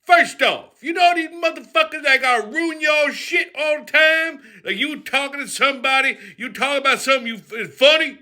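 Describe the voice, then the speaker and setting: A man doing a shrill, bright foul-mouthed ranter. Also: moderate pace, few pauses, exaggerated voice. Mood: ranting. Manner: profane ranting, shouting, mocking. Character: foul-mouthed loudmouth man named Crazy Rufus. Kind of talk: comedic character rant.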